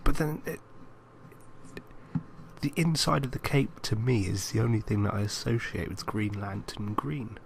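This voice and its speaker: A man's voice: on the low side, moderate pace, vocal fry, pauses often, slight background noise. A man co-hosting a casual podcast, bantering in a skeptical, teasing way. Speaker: podcast co-host.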